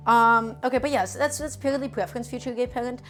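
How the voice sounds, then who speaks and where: A woman's voice: on the high side, steady tone, fast, a bit bright, soft background music. A woman whose first language is Russian, casually demonstrating a recipe in her home kitchen on a live stream and chatting with viewers as she works. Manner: chatty, answers viewer chat while cooking. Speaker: cooking streamer.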